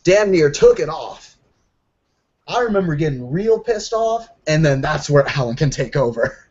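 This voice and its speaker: A man casually recounting an in-character roleplaying story with slangy, sweary, animated delivery. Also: a little high, moderate pace. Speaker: tabletop roleplay player. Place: online group voice call. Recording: dull lo-fi voice call.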